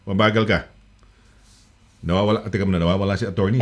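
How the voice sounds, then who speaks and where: A man's voice: low voice, steady tone, racing. Man, Filipino accent, show host, chatty, rambling, lots of fillers, middle-aged. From Philippines. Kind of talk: casual live call-in chat.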